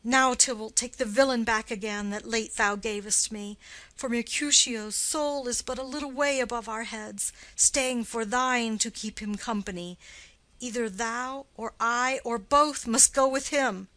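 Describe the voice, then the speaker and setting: A woman's voice: a little high, unhurried, fairly bright tone, low-quality recording. A woman playing a passionate young man turned avenger, reading classical drama in an earnest, declamatory way. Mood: vengeful. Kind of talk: classical stage-play reading.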